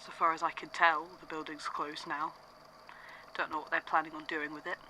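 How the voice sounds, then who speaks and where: An actor playing a shaken young man giving a subdued, halting statement in a fan audio drama. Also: on the high side, flat delivery, pushed and bright, slight background noise, low-quality recording. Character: shaken young man recounting theatre disaster. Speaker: statement giver.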